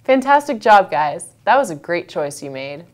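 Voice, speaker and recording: Deadpan teenage girl hosting school news. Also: lowish voice, moderate pace, loud music behind the voice. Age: teens. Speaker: student news show host. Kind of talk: scripted student news hosting.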